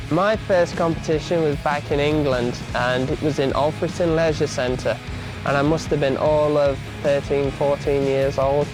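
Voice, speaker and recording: A man's voice: a little high, poor audio. A man who rides bikes, talking casually as he gives an interview. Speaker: BMX rider being interviewed. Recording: music behind the voice.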